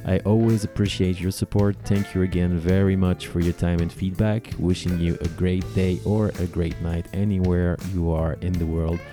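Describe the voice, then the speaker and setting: A male podcast host speaks courteously, thanking his guest and signing off with hesitant uhs. His voice is very low and mellow, and faint music plays underneath. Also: little pitch movement, normal speed, hardly any pauses. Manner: polite and courteous, with short affirmations and hesitant uhs. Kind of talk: interview and show sign-off.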